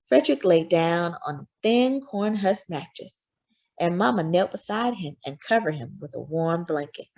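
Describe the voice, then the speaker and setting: A woman narrates a children's story as a read-aloud. Her voice is husky and very lively, with expressive swings in pitch and careful storytelling phrasing. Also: moderate pace.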